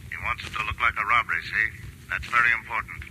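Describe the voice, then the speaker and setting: A man's voice: fairly low pitch, fast talker, sharp tone, slight background noise, rough recording. A man playing a cold, terse criminal giving orders over the telephone in a 1940s radio drama. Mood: cold, instructing. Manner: terse, commanding. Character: cold criminal boss giving murder instructions.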